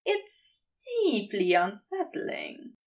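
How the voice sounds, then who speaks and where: A woman reading scripted fiction as a narrator, with expressive, deliberate storytelling. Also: mid-range voice, far from the mic. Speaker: podfic narrator.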